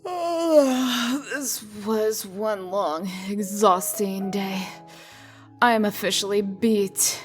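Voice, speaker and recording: Woman acting as brisk female official, sardonic, firm authority, mid-pitched, comic dub drama, music underneath. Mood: weary. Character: brisk, shrewd female official laying down the law.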